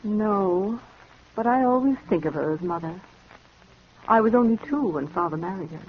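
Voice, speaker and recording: Casting: female actor as genteel, trusting, worried sister, earnest 1950s radio melodrama, mid-pitched, dull old recording with slight noise. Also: animated, moderate pace, lightly acted. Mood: uneasy. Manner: earnest, theatrical. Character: anxious, gentle, trusting sister in a genteel family. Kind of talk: 1950s radio drama acting. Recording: muffled, noisy vintage radio recording.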